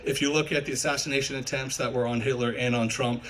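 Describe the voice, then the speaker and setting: Fast-talking academic man. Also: mid-range voice, fairly even intonation, fairly bright tone, slight background noise. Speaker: college history instructor questioning a speaker. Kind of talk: audience question in a live debate.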